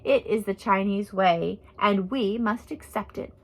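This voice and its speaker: A woman voicing a gruff, calm missionary woman in her seventies in a storybook, lecturing matter-of-factly. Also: mid-range voice, measured pace, pitched below the performer's own voice, poor audio. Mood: calm, stern. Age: 70s. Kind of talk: storybook character reading.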